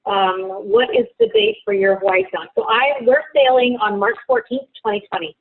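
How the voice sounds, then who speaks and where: A woman from Ontario hosts a travel webinar over a video call, chatty and reassuring. Her pitch sits a little high and swings a lot. The sound is dry and squeezed like a phone line. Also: very animated, a little fast, vocal fry, no room sound. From Ontario, Canada. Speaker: travel agent hosting a webinar. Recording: tinny phone-like call audio.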